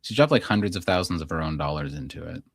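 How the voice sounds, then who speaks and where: A man's voice: a bass voice, lively intonation, fast talker, vocal fry, no room sound, studio quality. An American man co-hosting a podcast, chatting about politics in an informal, opinionated way peppered with filler likes. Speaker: podcast co-host. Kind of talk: casual political chat.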